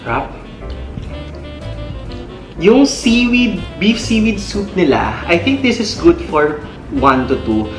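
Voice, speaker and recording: A man's voice: high-pitched, very animated, takes it slowly, long pauses. A man with a Filipino accent chatting casually as a food vlog host, switching between English and Tagalog. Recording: echoey room, music behind. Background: clear background music.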